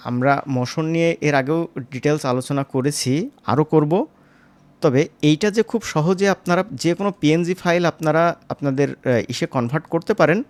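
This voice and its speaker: A man teaching as a software instructor, informal and step by step, often checking in with short tag questions. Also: fairly high pitch, dry recording. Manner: conversational, seeking agreement with short tag questions. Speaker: animation tutorial instructor.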